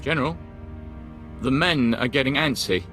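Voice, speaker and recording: A man plays a restless captain in a sci-fi game. His bright, forceful, medium-pitched voice is blunt and urgent. Faint music plays behind. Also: moderate pace, natural voice. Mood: impatient. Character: restless, frustrated male army captain. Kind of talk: video game voice acting.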